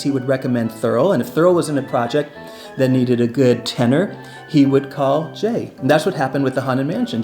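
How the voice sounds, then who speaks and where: A man's voice: mid-range voice, darkish tone. Man telling stories, music behind. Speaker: presenter and storyteller. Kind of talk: casual storytelling about theme park history.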